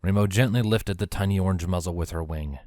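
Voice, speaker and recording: A man's voice: low-pitched, fast, mellow, dry recording, clean studio sound. A man narrating a scripted story as its narrator, in a prose reading style.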